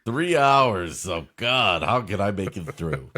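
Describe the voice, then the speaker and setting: A man co-hosting a comedy show, riffing on a story in a sardonic, deadpan joking way. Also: fairly low pitch, slow pace. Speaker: comedy co-host.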